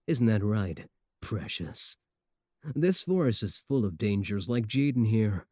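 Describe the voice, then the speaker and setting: Sardonic male werewolf suitor, played by a man, comedic ASMR roleplay: slightly deep, possessive, taunting, recorded dry and close. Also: animated, measured pace, no room sound. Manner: taunting, sardonic, doting on the listener.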